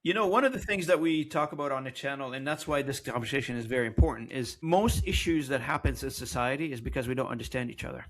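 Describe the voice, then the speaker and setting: A man's voice: mid-range voice, fast talker, hardly any pauses, fairly bright tone. Man hosting, searching for words. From Lebanon. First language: French. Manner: rambling, repeats words while searching. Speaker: podcast host. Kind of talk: casual interview chat.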